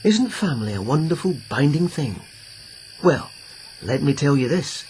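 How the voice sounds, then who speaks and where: A man's voice: a little high, very animated, normal speed, darkish tone, a little hiss or hum. An actor playing an earnest young policeman who presses his lover with urgent warnings, in a radio drama. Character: Lieutenant Yukinari, earnest, protective policeman lover. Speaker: lead character. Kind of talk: BBC radio drama acting.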